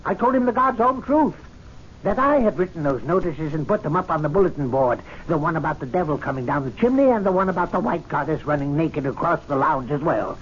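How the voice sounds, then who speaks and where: Man acting an excitable, fussy radio-drama servant. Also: on the high side, fairly quick, natural voice. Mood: indignant. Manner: muttering to himself, theatrical outbursts. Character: indignant, fussy, excitable club servant scheming a prank. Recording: muffled, noisy old radio drama recording.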